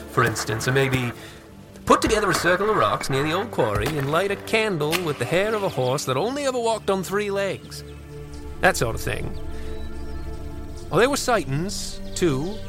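An actor playing an old country man who wistfully recalls a strange boyhood in folksy, unhurried asides for an audio drama. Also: a little high. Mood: mysterious, reminiscing. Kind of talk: first-person storytelling in an audio drama.

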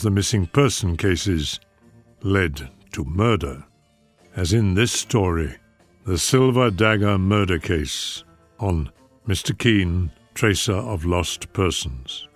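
A man hosting a vintage radio program with deliberate, formal scripted introductions. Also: deep voice. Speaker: radio show host.

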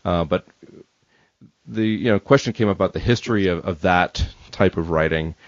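A man's voice: low-pitched, normal speed, no echo. A man hosting an interview podcast, asking casual unscripted questions in a tentative, roundabout way. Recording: dry but dull, lo-fi audio.

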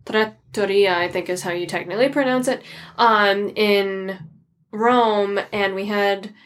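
A woman's voice: mid-range voice, steady tone, unhurried. A woman hosting a podcast, gushing about a meal in casual chat, with lots of "like". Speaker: podcast host.

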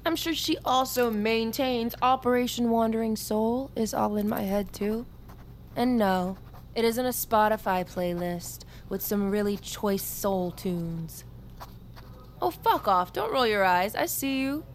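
A woman's voice: on the high side, a little slow, a little hiss or hum. An actress playing a brash, stubborn young woman in army training, with blunt, sarcastic delivery in an audio drama. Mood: sarcastic, playful. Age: young adult. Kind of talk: naturalistic audio fiction acting.

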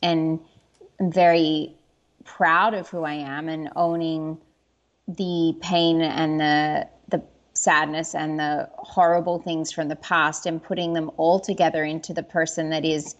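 A woman speaking in a somewhat low voice, earnest and open. She talks in a reflective, personal way and often stops and restarts her sentences as she searches for words. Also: level tone, muffled recording. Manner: earnest and candid, halting with restarts. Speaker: interview guest.

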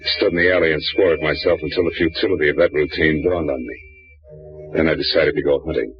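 Deep-voiced wisecracking hard-boiled detective. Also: male voice, fast talker. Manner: dry, wisecracking, clipped delivery. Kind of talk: 1940s radio drama narration. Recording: muffled old radio broadcast.

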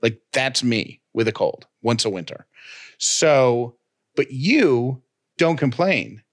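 A man's voice: medium pitch, slow pace. Chatty rambling man co-host. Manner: animated, rambling, self-mocking. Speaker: podcast co-host. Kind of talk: casual chat.

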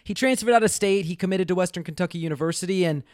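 Young male sports podcast co-host, slightly high pitch, dry mic, chatty analyst. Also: very fast, no echo. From Texas. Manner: animated, analytical, many fillers. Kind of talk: casual basketball analysis chat.

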